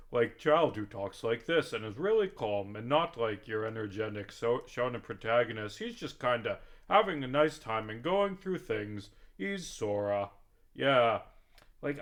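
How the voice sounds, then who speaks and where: A man's voice: medium pitch, a bit bright. An American man chatting casually over gameplay in a rambling, comically exasperated way, swearing now and then. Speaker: streamer recording a gaming vlog.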